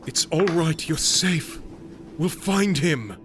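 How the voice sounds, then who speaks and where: Man playing a concerned, expressive ally. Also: fairly high pitch, takes it slowly. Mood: concerned, soothing. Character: reassuring, concerned ally at a sickbed. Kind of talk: audio drama acting. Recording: dramatic music behind the voice.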